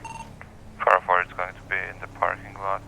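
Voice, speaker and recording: A man's voice: sits low, completely flat delivery, moderate pace, creaky, pushed and bright, rough recording. A man giving clipped police radio reports as a roleplayed officer. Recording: radio transmission with faint background noise.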